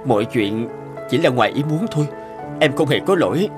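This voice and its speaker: An actor playing a gentle, worried young husband in a cartoon drama, speaking in an earnest, caring, pleading manner. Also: male voice, medium pitch, animated, a little fast, natural voice. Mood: gentle, consoling. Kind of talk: dubbed cartoon drama acting. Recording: studio voice under loud music.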